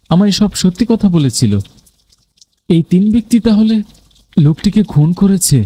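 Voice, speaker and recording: A man's voice: mid-range voice, mellow. A man narrating a scripted first-person ghost story in a dramatic, suspenseful manner.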